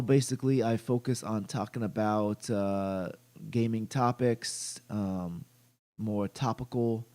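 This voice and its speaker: A young man hosting a casual livestream about gaming, stuffy from allergies, talking haltingly with many fillers and restarts. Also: lowish voice, warm tone. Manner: greets chat viewers by name. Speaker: gaming channel host.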